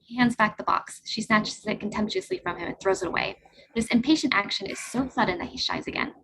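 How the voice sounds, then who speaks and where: A woman reading stage directions aloud in a play reading over a video call, in a brisk, even narrating manner. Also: a little high, noisy background.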